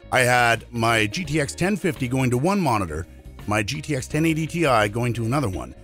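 A man in his thirties with a cold, hoarse voice, chatting through a tech tutorial step by step in a small home studio. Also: medium pitch, fairly bright tone. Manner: chatty, explains step by step with fillers. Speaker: streaming tech YouTuber. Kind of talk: casual tech tutorial to camera.

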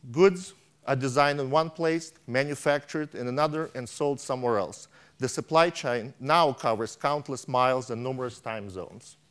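A man's voice: mid-range voice, moderate pace, fairly bright tone, clean tone. A middle-aged man giving a keynote on a large conference stage, reading a prepared speech from a tablet with frequent restarts and stumbles, on a lapel mic. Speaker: keynote speaker.

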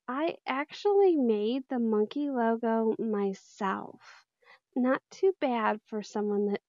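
Friendly lady chatting to her channel, unhurried, dry slightly muffled audio. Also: medium pitch, a little mellow, no room sound. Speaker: channel host and voice actor. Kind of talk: casual outro chat to viewers.

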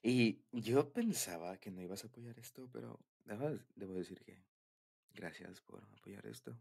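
Young male, Mexican accent, deep and breathy, for shy, intimate boyfriend-style ASMR talk. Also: moderate pace, a little mellow. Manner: bashful, hesitant, giggly. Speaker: ASMR roleplay creator.

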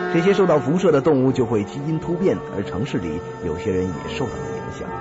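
A man's voice: on the low side, close to an everyday voice. A man playing a grave, authoritative commander in a radio drama, briefing formally on a secret mission. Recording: dull lo-fi audio with music behind.

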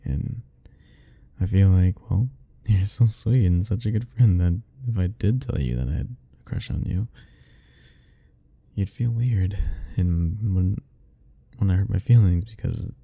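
A young man softly speaking a tender, hesitant ASMR roleplay, musing aloud. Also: low voice, a little slow, vocal fry, breathy edge. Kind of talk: soft-spoken ASMR roleplay.

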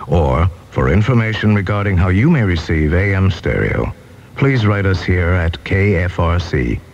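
A man's voice: low-pitched, darkish tone. A man reading a formal scripted station announcement on 1970s radio. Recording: dull 1979 AM radio aircheck.

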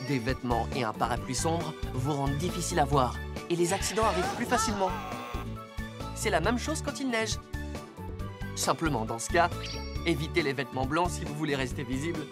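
A woman voicing a calm, earnest rescue car that patiently teaches safety in a French children's cartoon. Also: low voice, a little fast, close to an everyday voice. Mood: patient, instructive. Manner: clear, patient, admonishing. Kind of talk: instructive French cartoon dubbing. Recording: loud music under the voice.

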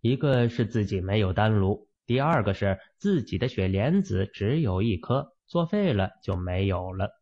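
Male narrator for dramatic fiction audiobook, lower register, dull lo-fi sound. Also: lively intonation, normal speed, hardly any pauses, darkish tone.

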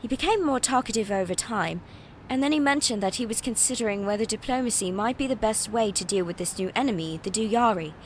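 A woman's voice: mid-range voice, animated, brisk, hardly any pauses, a little hiss or hum, natural voice. An actress playing an eager, nervous young female reporter in an audio drama, chatty and hesitant. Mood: earnest. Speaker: voice actor.

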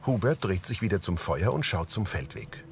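A man's voice: low-pitched, lively intonation, normal speed, vocal fry, slight background noise. A man reading scripted present-tense scene narration as an audio describer for a drama. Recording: music behind the narration. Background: background music.

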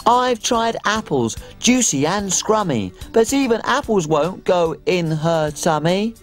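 A man's voice: high voice, lively intonation, slow, faint music behind, pitched below the performer's own voice. A man voicing a flustered castle cook in a children's TV story, with a rhyming, hand-wringing complaint.

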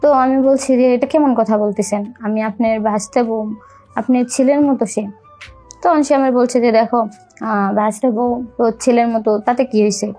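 A young woman with a Bangladeshi accent telling a personal story in a halting, repetitive, colloquial way. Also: fairly high pitch, steady tone, dark tone. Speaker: storyteller recounting own life. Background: music.

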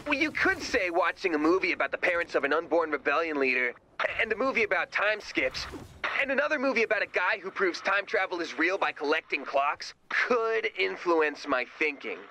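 An actor playing an excitable, gullible, earnest young man in an animated video game, melodramatic in delivery. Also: sits high, brisk, a little hiss or hum, exaggerated voice, rough recording. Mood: excited.

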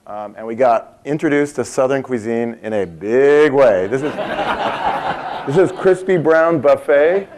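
A man's voice: medium pitch, takes it slowly, a little mellow, a little hiss or hum. Man in his fifties, conference keynote, chatty and joking, quizzes the crowd, large meeting room. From Montana. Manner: chatty, asks the audience questions, laughs at his own stories. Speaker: keynote speaker.

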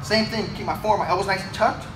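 A man's voice: sits high, fast talker. A young man coaching a chest workout in a weight room, talking through the moves as he lifts. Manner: energetic, coaching while lifting. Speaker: fitness coach. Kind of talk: workout instruction. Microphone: camera mic from a few feet away. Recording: distant camera audio with room noise.

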